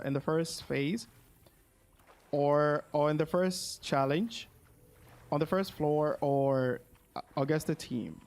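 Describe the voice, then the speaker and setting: A man's voice: on the high side, darkish tone. A man chatting casually on a game livestream, thinking aloud and repeating his phrases as he goes. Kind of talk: casual livestream chat while gaming.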